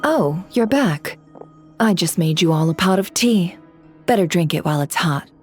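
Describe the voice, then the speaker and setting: An actress voicing a sly, unhurried female information dealer who drawls and teases. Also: fairly low pitch, expressive, soft background music, lightly acted. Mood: teasing. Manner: drawling, teasing. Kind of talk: video game dub acting.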